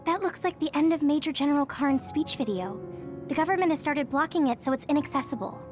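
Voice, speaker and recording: A woman voicing a caring, concerned young comrade in a video game, gentle and sympathetic in manner. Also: high voice, fast, natural voice. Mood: serious, concerned. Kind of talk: conversational video game voice acting. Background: music.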